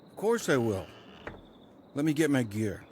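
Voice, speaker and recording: A man's voice: medium pitch, very animated, unhurried, slight background noise. A man playing a sardonic, evasive, pragmatic trader in a post-apocalyptic audio drama. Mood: persuading, reluctant.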